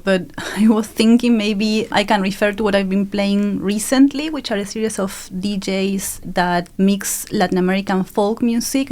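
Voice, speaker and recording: Argentine woman, mid-pitched, chatting. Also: a little mellow. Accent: Argentine Spanish. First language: Spanish. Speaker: guest, academic researcher. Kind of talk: casual interview conversation. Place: studio.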